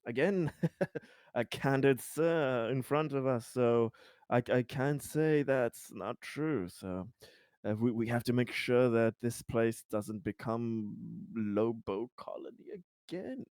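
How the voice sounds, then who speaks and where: A man improvising in-character dialogue as a player in a tabletop game, speaking haltingly in courteous, slightly formal phrasing. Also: medium pitch, a little mellow, no room sound, clean studio sound.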